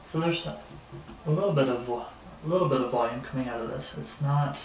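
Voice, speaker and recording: A middle-aged man giving a casual, unhurried gear review to camera, speaking in a mild, somewhat hesitant way. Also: medium pitch. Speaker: product reviewer. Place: small indoor room at a table. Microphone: camera a few metres away. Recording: roomy, distant camera mic with noticeable noise.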